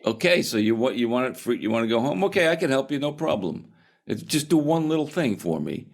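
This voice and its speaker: Self-styled omnipotent guru wizard, played by a male storyteller: big, scornful, blustering, gravelly, with drawn-out delivery. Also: medium pitch, sing-song, pitched above the performer's own voice. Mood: falsely obliging. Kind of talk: comic story retelling.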